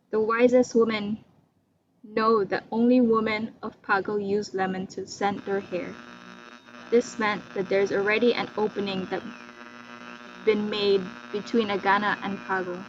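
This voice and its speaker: A woman storyteller giving a scripted, dramatic narration of a folktale. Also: mid-range voice. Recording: dull lo-fi recording with loud mains hum.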